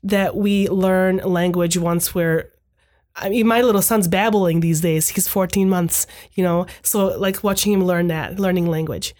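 A woman's voice: on the low side, steady tone, darkish tone. Thoughtful woman, Hungarian first language. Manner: thoughtful, measured, frequent "right?" tags. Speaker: podcast guest. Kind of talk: reflective conversational talk.

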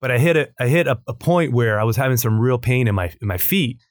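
A man in his late thirties hosting a podcast, asking questions in a conversational, halting way with restarts. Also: mid-range voice, no echo, clean studio sound. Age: 30s. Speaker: podcast host. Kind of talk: casual interview conversation.

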